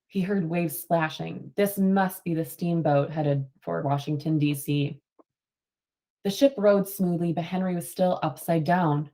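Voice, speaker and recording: A woman's voice: fairly low pitch, a little slow, warm tone. A woman teacher reading a picture book aloud to children and prompting them with questions, affirming their answers.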